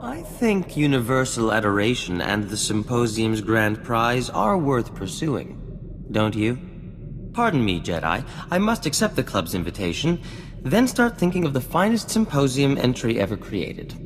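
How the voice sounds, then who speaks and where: An actor playing a vain, charming scientist in a video game, talking in grandiose, courtly phrases. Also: male voice, medium pitch, huge pitch swings, measured pace, long reverb tail, noisy background. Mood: proud, grand. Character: flamboyant, vain, charming male scientist and self-styled genius. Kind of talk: scripted video game acting. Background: music.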